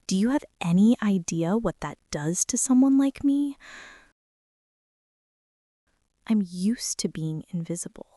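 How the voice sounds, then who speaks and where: A woman playing a shy, quiet, self-doubting high school girl in an ASMR roleplay, speaking softly and hesitantly. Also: dry recording, studio quality. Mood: hushed, overwhelmed. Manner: soft, hesitant, flustered, often breaking into breathy whispers.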